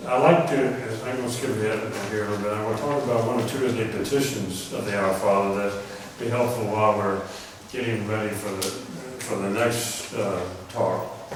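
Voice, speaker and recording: A soft-spoken man giving a rambling religious talk to an audience, often clearing his throat. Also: on the low side, background noise. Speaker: religious speaker. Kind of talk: informal lecture to an audience. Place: meeting hall before a live audience. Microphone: microphone through a speaker system. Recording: echoey hall, heard from far off.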